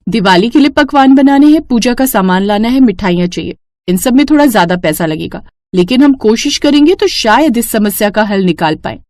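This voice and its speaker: A woman puts on a resourceful young daughter-in-law from a cartoon family tale. She sounds eager and reassuring, with bouncy, animated pitch in a dry, close recording. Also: a little fast, no room sound, lightly acted. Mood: eager planning.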